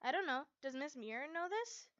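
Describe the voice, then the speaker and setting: A woman's voice: high voice, very animated, fairly quick, fairly bright tone, dry recording, highs cut off. A woman voicing a stubborn, easily flustered teenage girl heroine in a story. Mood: puzzled.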